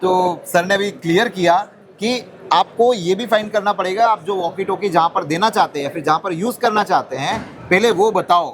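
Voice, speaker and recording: A man's voice: high voice, brisk, hardly any pauses. An Indian man hosting an informal product interview, interjecting and restating points to check them. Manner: interjects, echoing and restating what is said. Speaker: video host and interviewer.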